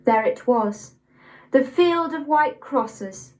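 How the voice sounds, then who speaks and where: A young woman of eighteen, reading scripted poetry in a measured, deliberate way. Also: sits high, expressive, darkish tone. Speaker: poetry reader.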